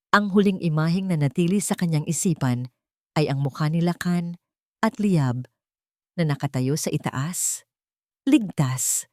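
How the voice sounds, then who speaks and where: A woman's voice: low voice, a little mellow, no echo, studio quality. A woman narrating a dramatic scripted love story in a solemn, melodramatic manner.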